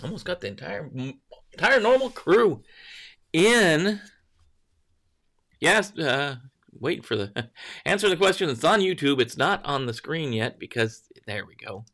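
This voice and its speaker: A man's voice: fairly high pitch, very animated, a little slow, long pauses, a bit bright. A man hosting a casual morning livestream, greeting viewers by name and rambling loosely while correcting himself. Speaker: livestream host.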